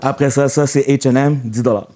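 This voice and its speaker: A man's voice: mid-range voice, steady tone, fairly quick, dark tone. A man from Montreal with a Quebec French accent, whose first language is Spanish, chatting playfully as a podcast guest and mixing in English. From Montreal, Canada. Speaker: guest artist. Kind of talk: casual podcast chat.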